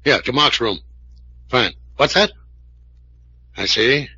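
An actor playing a businesslike, commanding American police captain in 1940s radio drama style. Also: male voice, mid-range voice, slow, sharp tone, slightly rough, heavily acted. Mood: brisk, satisfied. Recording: dull vintage radio recording.

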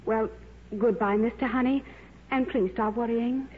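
A woman's voice: a little high, steady tone, measured pace, soft background music, natural voice. A woman playing a kind young stewardess, polite and reassuring, in 1950s radio drama style. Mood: concerned, gentle. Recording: tinny, noisy old radio broadcast.

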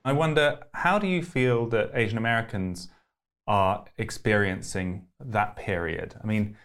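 A man hosting a podcast interview, asking questions in a measured, thoughtful way with hedges. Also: lowish voice, fairly bright tone. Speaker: podcast host.

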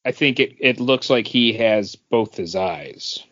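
A man's voice: lowish voice, lively intonation, slow pace, fairly bright tone. A man co-hosting a podcast, casually critiquing a comic and pointing out details patiently. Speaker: podcast co-host. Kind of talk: casual comic review chat. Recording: dull lo-fi podcast audio.

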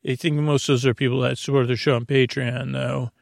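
A man with a creaky voice hosting a bedtime podcast in a meandering, halting ramble that keeps interrupting itself and drifting off topic. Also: medium pitch, flat delivery, dry recording, clean studio sound. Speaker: podcast host.

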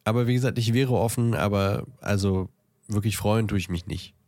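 A man's voice: lowish voice, normal speed, a little mellow, dry recording. A man co-hosting a podcast in casual, teasing, mock-serious banter. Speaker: podcast co-host. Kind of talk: casual banter between co-hosts.

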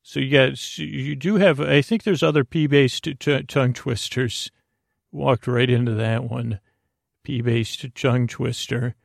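A man hosting a bedtime podcast in a gravelly voice, rambling in a halting, digressive way and often interrupting himself. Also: medium pitch, fairly even intonation, no room sound, clean studio sound. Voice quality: gravelly, creaky. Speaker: podcast host.

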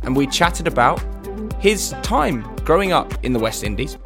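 A man's voice: fairly high pitch, very animated, moderate pace, music in the background. A man with a British accent, speaking as the host and interviewer of a podcast. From United Kingdom. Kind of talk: podcast introduction and interview chat.

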